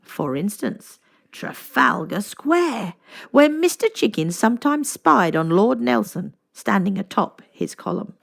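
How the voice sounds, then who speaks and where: A woman reads a children's story aloud as narrator. Her intonation is very lively, and she phrases deliberately and expressively. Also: medium pitch, vocal fry.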